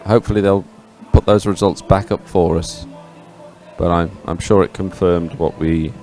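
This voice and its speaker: A man giving live sports commentary in a chatty, hesitant, partly ad-libbed way with frequent pauses. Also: sits low, steady tone, mellow, faint music behind. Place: live broadcast at an outdoor regatta venue.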